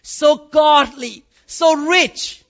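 A man's voice: very high-pitched, drawn-out words, fairly bright tone, highs cut off. A man from Thailand with a Thai accent, preaching a sermon with emphatic swings of voice and calls of amen. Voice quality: non-native grammar. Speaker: pastor.

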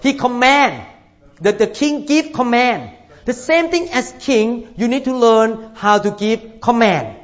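A male pastor preaches with a fairly high voice, pressing his points by repeating them and asking for amens. The recording is dull and lo-fi, and it sounds like a church hall. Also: expressive, slow. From Thailand. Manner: emphatic, repeats phrases, calls for amens. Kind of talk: sermon.

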